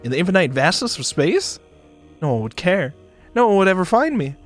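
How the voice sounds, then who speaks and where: A man doing a dramatic narration of a visual novel for a stream, expressive, sometimes stumbling and joking. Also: high voice, brisk. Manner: expressive, stumbles and rereads lines. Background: music behind the voice.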